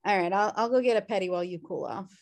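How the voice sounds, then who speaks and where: A woman's voice: medium pitch, fast talker, a little mellow, close to an everyday voice. A woman reading the part of a sardonic, wisecracking slacker sister in a sitcom table read over a video call. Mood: sheepish. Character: flippant, sardonic slacker sister, adult twin. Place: indoor room at home on video call.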